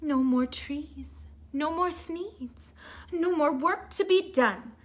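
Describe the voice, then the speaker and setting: A woman reading a storybook aloud like a teacher to children, theatrical and expressive, with asides to her listeners. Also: sits high, a little hiss or hum. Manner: theatrical, expressive, drawn-out emphasis.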